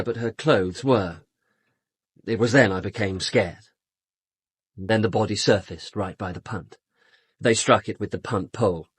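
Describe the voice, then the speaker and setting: Husky, glib British man about town. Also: on the low side, fairly bright tone, no room sound. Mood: sombre recollection. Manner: glib, sardonic, theatrically casual. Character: flippant, louche upper-class brother-in-law, a male suspect. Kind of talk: radio drama acting.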